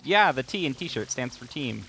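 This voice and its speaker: A man's voice: medium pitch, very animated, moderate pace, creaky, a bit bright, a little hiss or hum, rough recording. A young man co-hosting a gaming show with casual, goofy chat, often repeating words as he goes. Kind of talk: casual joking chat over video game play.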